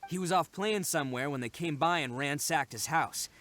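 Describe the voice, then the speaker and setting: A man's voice: fairly high pitch, normal speed, sharp tone, slight background noise. A man playing a proud, brash, earnest young oni gang leader in a video game, speaking emphatically. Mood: candid, serious. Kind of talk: scripted video game voice acting.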